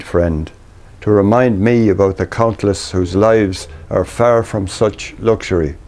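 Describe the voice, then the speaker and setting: An Irish man reading his own poetry in a measured, deliberate way, pausing between short phrases. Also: mid-range voice, a little mellow. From Ireland. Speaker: poet reading own work.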